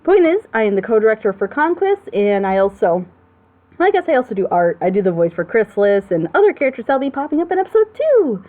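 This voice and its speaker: A woman's voice: on the high side, big pitch swings, fast, narrow, tinny audio. A woman hosting a group reaction show, chatting casually with friends.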